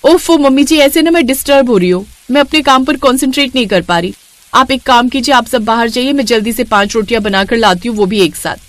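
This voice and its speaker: A woman voicing a clever, self-assured young bride in a cartoon story, brisk and politely cheeky. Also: a little high, no echo, natural voice. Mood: flustered, brisk.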